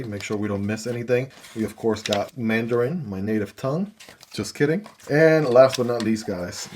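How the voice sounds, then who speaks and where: A man's voice: fairly low pitch, a little mellow, slight background noise. A man casually talking through an unboxing and hands-on review of a gadget, easygoing and chatty with frequent fillers. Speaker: gear reviewer.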